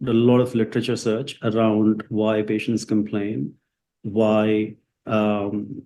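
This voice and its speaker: A man's voice: on the low side, flat delivery, slow pace, warm tone, slight background noise. A man from India whose first language is Hindi, a psychiatrist answering interview questions with many fillers and restarts. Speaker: psychiatrist and company founder.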